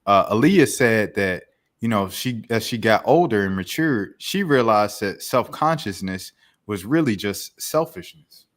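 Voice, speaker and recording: A young man with a fairly low voice, chatting on a podcast. He rambles in a loose, slangy way, circling back with restarts and lots of filler words. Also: animated, unhurried. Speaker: podcast co-host. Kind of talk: casual chat.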